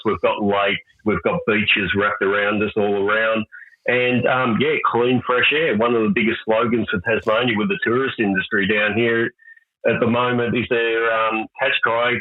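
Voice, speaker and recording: A man's voice: lowish voice, no room sound. Aussie guy chatting easygoing, lots of ums, sounds like a phone call. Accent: Australian. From Australia. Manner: relaxed, easygoing, hesitant fillers. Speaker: guest, mowing business owner. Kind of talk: casual interview chat. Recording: tinny phone-like call audio.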